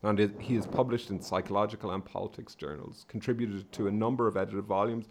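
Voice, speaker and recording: A man's voice: lowish voice, steady tone, fast talker, noisy background. A man hosting a research podcast, reading a scripted introduction of his guest with small stumbles. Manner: reads intro, slight stumbles. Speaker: podcast host.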